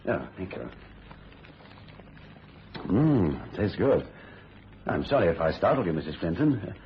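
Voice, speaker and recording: Courteous, expressive radio-play doctor. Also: male voice, deep voice, unhurried, full character voice. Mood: genial, courteous. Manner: polite, conversational. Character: courteous, unruffled male family doctor. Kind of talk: radio thriller acting. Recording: muffled radio recording, faint noise.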